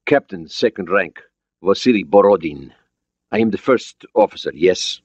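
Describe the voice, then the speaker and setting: A man voicing a weary Soviet naval officer with a Russian accent and formal, halting English in an audiobook. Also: sits low, lively intonation, a little mellow, dry recording, dull audio.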